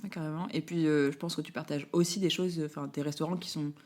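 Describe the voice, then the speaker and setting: Woman host, talks fast, lowish voice, lots of "ouais" and "mm". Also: fairly even intonation, darkish tone. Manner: quick, encouraging, lots of backchannel. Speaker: interview host. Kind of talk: casual interview talk.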